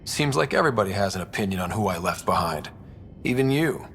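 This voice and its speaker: A man's voice: lowish voice, expressive, fast, gravelly, a bit bright, a little hiss or hum. A man playing a young, easygoing space explorer and team leader in video game dialogue, speaking casually. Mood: reflective. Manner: casual, conversational.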